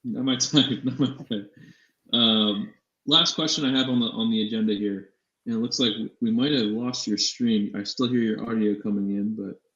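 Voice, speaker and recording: A man co-hosting a casual fantasy sports live show, talking in a halting way with repeated and restarted phrases. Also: lowish voice, steady tone, a bit bright. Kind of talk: casual sports talk banter. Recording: echoey room, dull lo-fi mic.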